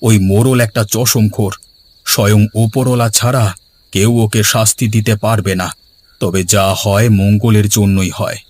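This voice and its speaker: অভিনেতার কণ্ঠে কার্টুনের আন্তরিক গ্রাম্য বাবা, মাঝারি-নিচু বাস্তব গলা. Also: male voice, fairly low pitch, steady tone, moderate pace, darkish tone, close to an everyday voice. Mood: indignant. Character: long-lost village father, gentle, earnest husband. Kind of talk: cartoon story dialogue. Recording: music behind the voice.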